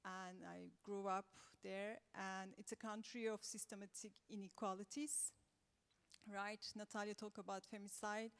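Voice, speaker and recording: A woman from Turkey speaking English with a Turkish accent, an assistant professor on a panel who talks in a measured, conversational way. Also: mid-range voice, in a large hall. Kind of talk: round-table panel talk. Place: conference room.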